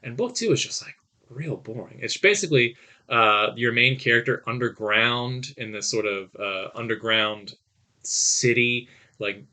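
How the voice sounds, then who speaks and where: A man's voice: mid-range voice, measured pace, sharp tone. A man co-hosting a podcast, giving reviews in an informal, opinionated, conversational way. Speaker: podcast co-host. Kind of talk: casual review chat.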